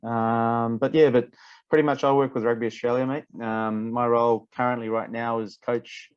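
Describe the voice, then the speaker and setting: A man from Fiji, a rugby coach chatting as a podcast guest, relaxed and repeating words as he thinks. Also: medium pitch, moderate pace, clear, resonant tone, no echo, muffled recording. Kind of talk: casual interview chat.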